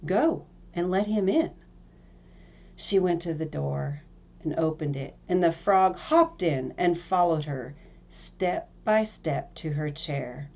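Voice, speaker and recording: A woman's voice: low-pitched, slow pace, vocal fry, a little mellow, lo-fi sound. A woman narrating a fairy tale from a script as a storyteller.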